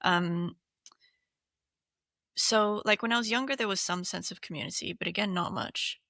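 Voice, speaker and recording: A woman in her thirties, from Hong Kong, talks casually on a podcast, leaning on fillers as she thinks aloud. Her voice has a gravelly edge but a bright, forward tone. The sound is dry and close. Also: medium pitch, normal speed, no echo. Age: 30s. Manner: chatty, many fillers, laughs often. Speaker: podcast co-host. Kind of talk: casual chat.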